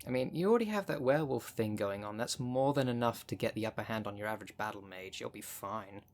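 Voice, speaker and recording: A man's voice: on the low side, fast talker, darkish tone, lightly acted. A man playing a jaded, sardonic thirty-two-year-old witch in an ASMR roleplay, talking in a dry, sarcastic, conversational way. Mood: jaded, wry. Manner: dry, sarcastic, sweary, sighing.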